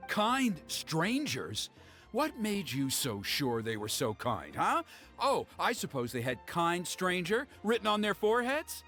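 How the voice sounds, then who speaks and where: Middle-aged tribe elder dad, game character, formal, lively swings in his voice, music underneath. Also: sits high, a little slow, heavily acted. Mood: angry scolding. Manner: expressive, formal, stammers when flustered. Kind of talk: acted game dialogue.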